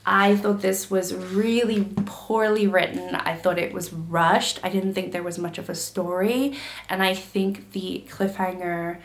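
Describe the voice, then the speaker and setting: A woman book vlogger getting over a cold, her throat gravelly, chatting casually to camera with lots of fillers and restarts. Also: fairly low pitch, fairly even intonation, measured pace, echoey room, slight background noise. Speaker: book YouTuber.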